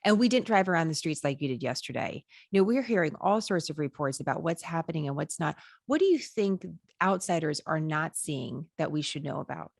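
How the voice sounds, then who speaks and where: Low-voiced woman host, dry sound. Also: lively intonation, fairly quick, vocal fry, no room sound. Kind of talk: interview questions.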